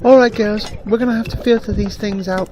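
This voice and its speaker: A woman's voice: mid-range voice, steady tone, brisk, a little mellow, a little hiss or hum, close to an everyday voice. A woman voicing a weary, fussy, bossy granary worker in a fantasy radio drama, grumbling with resignation. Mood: resigned, bossy. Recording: crowd chatter behind the voice.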